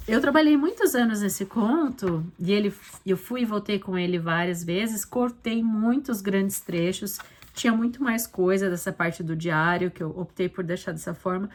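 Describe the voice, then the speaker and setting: Conversational Brazilian woman explaining her writing. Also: medium pitch. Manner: conversational, explanatory, with frequent check-in tags. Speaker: author and podcast host. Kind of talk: casual reflective commentary on her own short story.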